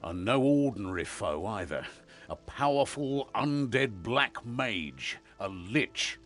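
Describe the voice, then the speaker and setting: Gruff, gravelly veteran warrior. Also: male voice, fairly low pitch, big pitch swings, slow pace, a bit bright, faint music behind, full character voice. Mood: grim. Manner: gruff, wary, intense. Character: weary veteran demon hunter, gruff amnesiac male warrior. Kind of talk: fantasy video game voice acting.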